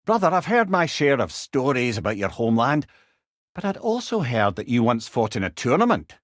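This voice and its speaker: An actor playing a witty, learned old storyteller god in a video game, with a Scottish accent and wry, eloquent, old-fashioned phrasing. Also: male voice, mid-range voice, sing-song, normal speed, dry recording, studio quality, natural voice. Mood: amused, musing. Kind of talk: video game character dialogue, storytelling banter.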